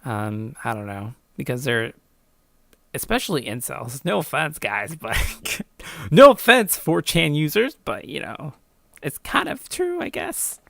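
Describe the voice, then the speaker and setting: Young male online host, informal and self-deprecating, bright crackly voice, reads comments casually. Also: fairly high pitch, big pitch swings, unhurried, vocal fry, no room sound, clean studio sound. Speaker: YouTube host reacting to own story. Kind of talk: casual reaction chat, reading comments.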